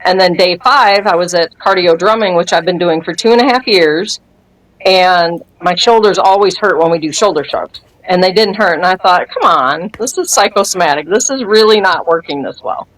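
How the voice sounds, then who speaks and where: A woman in her fifties with a fairly low voice tells her story in a relaxed interview chat. She brings it to life by acting out the things people said to her and laughs often. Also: moderate pace, rough recording. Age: 50s. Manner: animated storyteller who acts out quoted dialogue and laughs often. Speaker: guest sharing a testimony.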